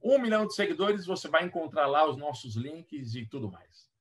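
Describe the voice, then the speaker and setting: A Brazilian man talking casually as an interview guest and author, in a halting manner that repeats words. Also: a little high, sing-song. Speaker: guest author and play educator. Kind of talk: casual explanatory interview talk. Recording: voice somewhat far from mic.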